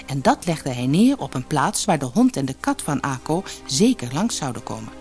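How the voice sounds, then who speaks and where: A woman reading a fairy tale as an audiobook storyteller, expressive and measured. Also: very deep voice, soft background music. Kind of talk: scripted fairy-tale narration.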